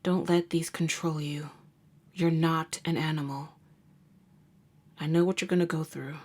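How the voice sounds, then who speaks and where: Low-voiced, jaded vampire huntress played by a woman. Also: fairly even intonation, unhurried, a little mellow. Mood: earnest, warning. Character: jaded, wry female vampire hunter and nurse, secretly caring. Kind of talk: ASMR roleplay monologue.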